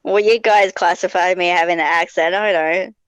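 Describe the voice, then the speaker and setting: A woman's voice: on the low side, fairly even intonation, normal speed, dry recording. An Australian woman with a head cold, chatting casually and laughing easily. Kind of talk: casual chat.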